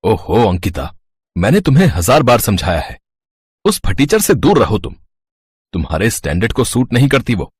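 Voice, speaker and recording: A haughty rich father lectures in a deep, husky voice. The sound is dry and close. He swings his pitch widely as he scolds. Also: sing-song, moderate pace, dry recording. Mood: scolding. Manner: lecturing, scornful of the poor. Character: rich stern middle-aged father, snobbish. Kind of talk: Hindi cartoon voice acting.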